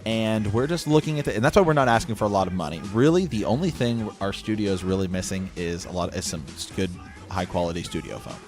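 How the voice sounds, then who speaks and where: Low-pitched man hosting a podcast. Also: fast talker, darkish tone, soft background music. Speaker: podcast host. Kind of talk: casual podcast announcement with a co-host.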